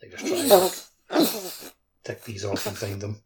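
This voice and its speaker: A man's voice: lowish voice, wildly swooping pitch, slow. A man co-hosting a podcast, chatting casually with loose, informal, repetitive phrasing. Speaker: podcast co-host. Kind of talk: casual chat.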